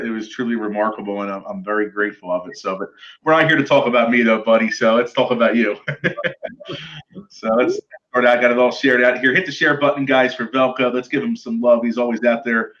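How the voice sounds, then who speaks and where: A man's voice: medium pitch, fairly quick, fairly bright tone. Upbeat man host, lo-fi stream audio. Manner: upbeat, chatty, thanks viewers and asks them to share. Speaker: show host, recruiter. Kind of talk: casual live-stream interview.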